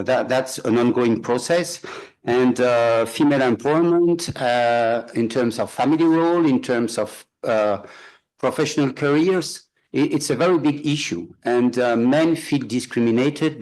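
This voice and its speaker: A man from France, giving unscripted remarks as a symposium panelist, pausing often with "uh" and restarting his sentences. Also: medium pitch, darkish tone, poor audio. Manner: halting, with frequent "uh" pauses and restarts.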